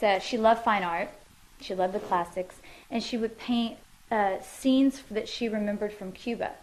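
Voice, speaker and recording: A woman's voice: medium pitch, slight background noise, low-quality recording. A woman musician answering interview questions in her living room, thoughtful and loose, with many fillers and restarts.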